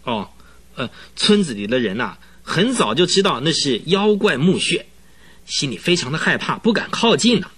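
A high, excitable, husky rambling witness. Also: male voice, normal speed, full character voice. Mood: agitated, secretive. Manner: rambling, dramatic storytelling full of interjections. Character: excitable, rambling, easily frightened male horse trainer witness. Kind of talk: radio drama acting.

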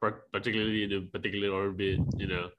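A man's voice: lowish voice, level tone, very fast, lo-fi sound, noisy background. A man from Malaysia co-hosting a casual podcast, trading playful jokes. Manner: joking, playful banter. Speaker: podcast co-host. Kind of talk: casual chat.

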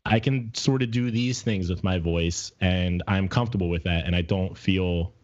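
A middle-aged man with a deep voice talking candidly and casually as a podcast co-host, reflecting as he searches for his words. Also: moderate pace, darkish tone, lo-fi sound. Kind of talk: casual personal conversation.

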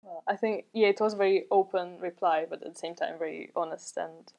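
A woman hosting a podcast, speaking in a slow, deliberate and measured way. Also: on the low side, steady tone, vocal fry, darkish tone, dry recording. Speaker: podcast host. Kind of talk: podcast intro and interview.

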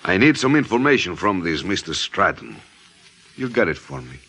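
An actor playing a smooth, menacing gangster client in a 1950s radio detective drama, sly and softly threatening. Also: male voice, low-pitched, big pitch swings, moderate pace, heavily acted.

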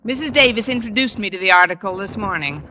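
A woman playing a wisecracking high school English teacher with dry, sardonic comic timing in an old radio sitcom. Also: lively intonation, quick pace, bright, forceful tone. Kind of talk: 1940s-50s radio sitcom acting. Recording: noisy old-time radio recording.

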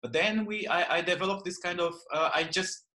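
A man from northern Brazil with a Brazilian accent, telling his story as an interview guest, halting with many fillers and restarts. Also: sits high, bright, forceful tone. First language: Portuguese. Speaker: guest, jiu-jitsu and yoga teacher. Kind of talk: casual interview.

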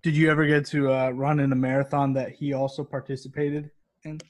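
A man's voice: medium pitch, level tone, a little fast. A man hosting a podcast, asking questions and signing off in a friendly, joking way. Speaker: podcast host.

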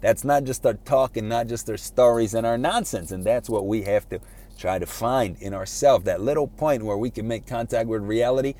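Man, mellow relaxed voice, medium pitch, informal self-improvement lecture, halting delivery, repeated words, colloquial. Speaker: speaker giving a self-improvement talk.

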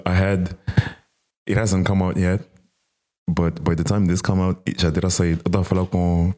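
A man's voice: sits low, darkish tone. A young man hosting a casual talk, switching between English and Portuguese mid-sentence. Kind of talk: casual chat.

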